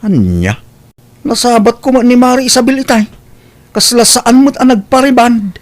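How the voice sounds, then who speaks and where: A man's voice: extremely high pitch, sing-song, moderate pace. A man playing a concerned father who questions and admonishes his grown daughter, with an Ilocano accent, in a radio-style comedy drama. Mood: suspicious. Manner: questioning, lecturing.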